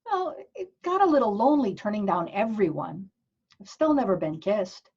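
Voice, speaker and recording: Actress as innocent sheltered nun, earnest, easily scandalized, very animated pitch, comedic table read, dull lo-fi call audio. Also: female voice, normal speed, husky. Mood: wistful, lonely. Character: naive, sheltered, wistful nun, a woman's future self.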